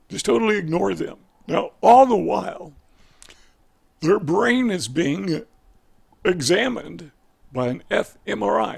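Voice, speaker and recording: An older man with a rough, gravelly voice speaks through a headset mic. His voice sits fairly high for a man. He explains things in a folksy, wandering way and keeps stopping to correct himself. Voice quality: raspy. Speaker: video educator. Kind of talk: casual explanatory monologue.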